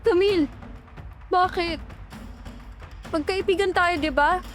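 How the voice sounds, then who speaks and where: A woman's voice: very high-pitched, takes it slowly, faint music behind. A woman playing a terrified, anguished young woman in a horror audio story, pleading and defiant. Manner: emotional, distraught.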